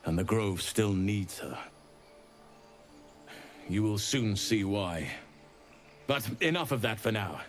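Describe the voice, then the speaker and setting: An actor playing a solemn, authoritative archdruid in a fantasy video game, speaking in a measured, formal and stern way. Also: male voice, on the low side, animated, faint music behind. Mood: calm, grateful.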